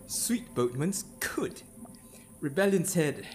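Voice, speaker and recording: A man's voice: a little high, lively intonation, slow. A man acting a Shakespearean king, ambitious, fearful and vengeful, in a theatrical, declamatory dramatic reading. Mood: demanding. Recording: loud ominous music behind the voice. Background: ominous music.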